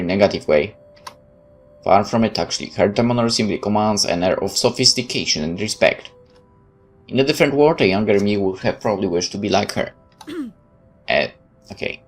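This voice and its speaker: A man playing through a game, reading its text aloud and adding sarcastic asides. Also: on the low side. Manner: sarcastic, interjecting quips. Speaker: let's player.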